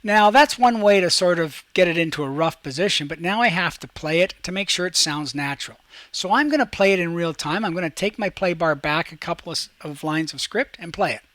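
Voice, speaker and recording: A man with a fairly high voice leads a step-by-step software lesson. He explains each action aloud as he does it, in an instructional manner, and the sound is dry and close. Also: lively intonation, a little fast, dry recording. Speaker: teacher and tutorial host. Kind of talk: screen-recorded software tutorial, step-by-step instruction.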